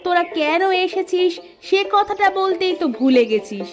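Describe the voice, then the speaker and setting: A woman's voice: extremely high pitch, sing-song, normal speed, faint music behind. A woman voicing a kindly, teasing middle-aged village aunt in a cartoon. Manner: warm, teasing, motherly. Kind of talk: cartoon voice acting.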